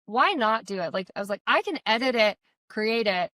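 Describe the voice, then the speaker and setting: Chatty thirties woman co-host, bright, slightly high voice, dry close sound. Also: expressive, no room sound. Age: 30s. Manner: chatty, quick, laughs often. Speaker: podcast co-host. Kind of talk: casual chat among friends.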